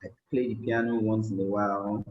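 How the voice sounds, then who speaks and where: A man from Nigeria with a Nigerian accent giving a tech presentation, unhurried and filling pauses with many ums. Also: fairly low pitch, flat delivery, a little hiss or hum. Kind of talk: conference presentation. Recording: roomy, slightly dull sound.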